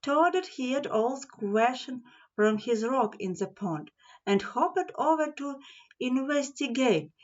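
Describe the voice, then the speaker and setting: A woman narrating a scripted children's story as an audiobook reader, deliberate and expressive. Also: high-pitched, muffled recording.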